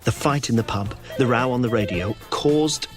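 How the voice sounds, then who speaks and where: An actor playing an excitable, camp male fan who narrates gossip in short bursts in a radio play. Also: mid-range voice, moderate pace, music in the background, natural voice. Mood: gossipy, excited.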